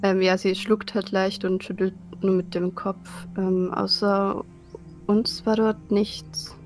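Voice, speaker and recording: A woman's voice: medium pitch, flat delivery, slow pace, darkish tone, faint music behind. A woman playing a tabletop role-playing game, casually narrating her character.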